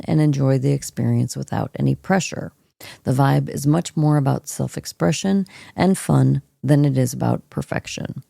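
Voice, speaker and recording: A woman co-hosting a podcast with a hoarse, froggy voice, reading an article aloud in a relaxed, unhurried way. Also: deep voice, no room sound, clean studio sound. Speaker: co-host. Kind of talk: reading an article aloud, then casual chat.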